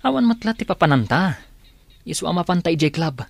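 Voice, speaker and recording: A man's voice: on the high side, animated, brisk, gravelly. A man with an Ilocano accent playing an impatient young petty thief in a radio drama. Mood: eager.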